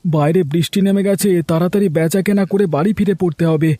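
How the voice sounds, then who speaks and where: A man voicing a brisk, impatient village husband in a cartoon, speaking brusquely. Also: high-pitched, steady tone, warm tone, dry recording, natural voice. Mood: hurried.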